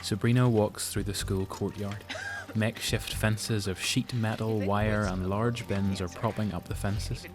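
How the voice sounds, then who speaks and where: An actor narrating a post-apocalyptic audio drama as a grave, measured storyteller with a Northern Irish accent. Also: male voice, on the low side, fairly even intonation, music in the background, close to an everyday voice. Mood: descriptive. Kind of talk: scripted narration.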